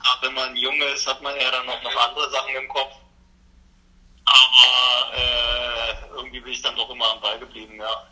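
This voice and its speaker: A man's voice: mid-range voice, monotone, moderate pace, sharp tone. A man in his thirties answering interview questions casually, with many hesitations and fillers, heard over a phone line. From Germany. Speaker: interview guest, pro cyclist.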